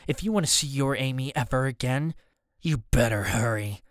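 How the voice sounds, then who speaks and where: A cold, menacing villain played by a male audiobook narrator gives orders with mocking control. The voice is clear and smooth, recorded very dry. Every phrase carries a threat. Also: medium pitch, moderate pace, no room sound, close to an everyday voice. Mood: menacing taunt. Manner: mocking, commanding. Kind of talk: audiobook character dialogue.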